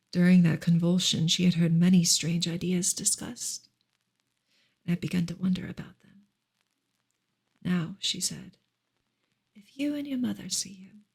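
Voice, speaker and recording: Soft, husky, creaky woman reading ASMR. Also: fairly low pitch. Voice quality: breathy, soft, often slipping into whisper. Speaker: audiobook-style reader, ASMR host.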